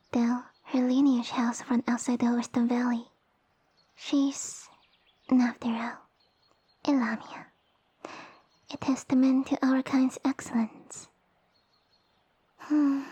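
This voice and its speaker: A woman voicing a small, haughty young snake-girl noble in an ASMR roleplay, speaking softly with pompous, formal condescension. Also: sits high, slightly rough, no echo. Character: small haughty young lamia noblewoman, sassy and easily offended. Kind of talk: ASMR audio roleplay acting. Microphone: close dry microphone.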